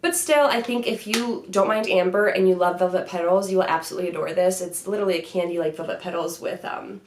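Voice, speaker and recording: A woman's voice: on the low side, quick pace, reverberant. Chatty young woman with a stuffy cold. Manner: chatty, upbeat, many "like" fillers. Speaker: beauty vlogger. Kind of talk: casual product review. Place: bedroom vanity room, indoors.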